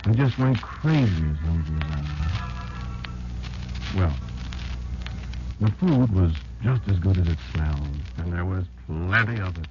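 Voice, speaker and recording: A man playing a wary old insect elder in an old-time radio drama, telling a child grim survival tales in a confiding, folksy way with deliberate pauses. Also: deep voice, animated. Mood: reminiscing, uneasy. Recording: dull lo-fi old radio recording. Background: prominent music.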